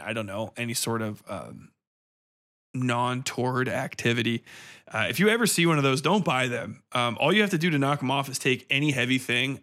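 A man's voice: medium pitch, bright, forceful tone. A man hosting a review podcast, talking casually and off the cuff in a blunt, colloquial way with plenty of swearing.

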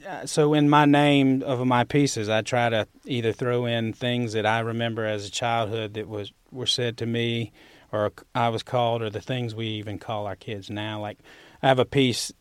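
A man's voice: medium pitch, fairly even intonation, moderate pace, clean tone, dry recording. A man with a Southern US accent, a sculptor talking conversationally as an interview guest. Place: university building studio.

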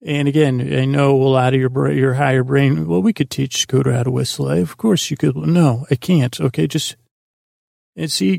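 A man with a creaky, gravelly voice hosting a sleep podcast in a meandering, halting, self-deprecating monologue full of tangents. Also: a little high, a little mellow, no room sound, studio quality. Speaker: podcast host.

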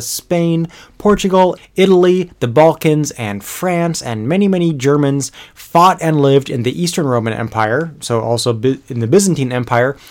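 A man's voice: on the high side, darkish tone. A man narrating a history lesson in a casual, conversational way, with frequent fillers and restarts. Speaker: history educator.

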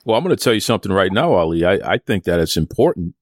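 A man's voice: deep voice, very animated, fast, no room sound, clean studio sound. A man hosting an interview, asking questions with encouragement and repeating words as he goes. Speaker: podcast host.

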